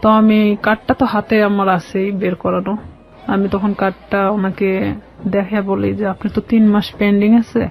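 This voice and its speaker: A woman with a Bangladeshi accent telling a personal story as a radio guest, halting and repeating phrases as she explains. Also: medium pitch, flat delivery. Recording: slightly dull, lo-fi radio sound.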